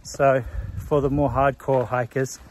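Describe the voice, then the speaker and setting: A man in his thirties giving relaxed trail commentary to a phone held at arm's length, outdoors on a forest hiking path. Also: mid-range voice, a little slow, rough recording. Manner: relaxed, informative, talking while walking. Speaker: hiking vlogger. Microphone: phone camera at arm's length.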